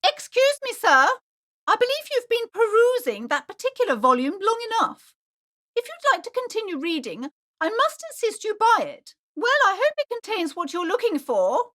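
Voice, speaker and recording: Prim, high-voiced, swooping female shopkeeper. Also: very animated, normal speed, a bit bright, full character voice. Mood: polite, insistent. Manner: fussy, formal, theatrical. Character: prim, anxious, superstitious female bookshop keeper, haughty yet polite. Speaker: video game voice actor. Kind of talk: acted video game dialogue.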